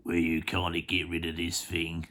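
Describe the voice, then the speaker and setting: A reader voicing rowdy male jungle monkeys in a scoffing, shouty manner. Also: deep voice, completely flat delivery, moderate pace.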